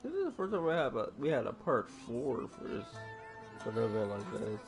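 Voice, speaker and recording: A man's voice: mid-range voice, big pitch swings, a little fast, creaky. A man chatting casually and jokingly in slang as he streams a video game. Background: game music.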